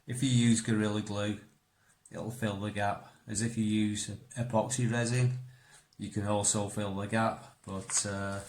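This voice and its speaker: A man with a fairly low-pitched voice, a native speaker of English, works as a bushcraft instructor. He explains practical craft steps casually and informally, thinking aloud as he goes. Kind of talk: casual hands-on craft tutorial.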